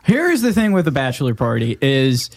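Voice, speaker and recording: A man's voice: mid-range voice, very animated, brisk, gravelly. A man who is a comedian and podcast guest, chatting casually and telling humorous stories.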